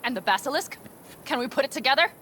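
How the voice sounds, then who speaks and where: A woman's voice: sits high, lively intonation, fast talker, bright, forceful tone, a little hiss or hum. A woman playing a fiery, defiant young nomad in a video game, blunt and passionate, swearing freely. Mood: firm, curt. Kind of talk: dramatic video game acting.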